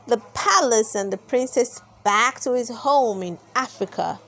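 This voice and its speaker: High, animated woman reading a storybook. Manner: expressive, deliberate storytelling. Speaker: storybook narrator. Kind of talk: scripted read-aloud children's narration.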